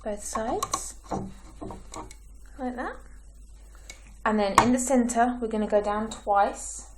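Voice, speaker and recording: A woman with a croaky throat, giving slow, patient step-by-step craft instructions as a tutorial host. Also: a little high, heavy background noise. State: croaky, clearing her throat.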